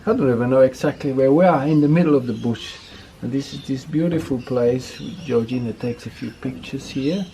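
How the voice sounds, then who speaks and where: A man's voice: medium pitch, fairly quick, hardly any pauses, dark tone, a little hiss or hum. A man from the French-speaking part of Switzerland with a Swiss accent, chatting enthusiastically on a visit to a small cheese factory. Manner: chatty, enthusiastic, switches between English and Swiss German. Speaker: vlogger's father, visiting guest. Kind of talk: casual chat on camera. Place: rural cheese factory in the bush.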